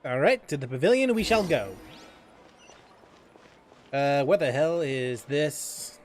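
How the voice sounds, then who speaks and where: A man's voice: a little high, lively intonation, slow pace, a little hiss or hum. A man giving casual, sweary commentary as he streams a game, reactive and exclamatory. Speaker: gaming streamer. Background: game music.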